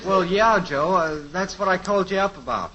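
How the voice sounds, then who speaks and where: A man's voice: high-pitched, lively intonation, moderate pace, bright, forceful tone, slight background noise. An actor playing an eager, scheming teenage son in a 1950s radio sitcom, earnest and breezy, fumbling his words. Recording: dull lo-fi old radio transcription.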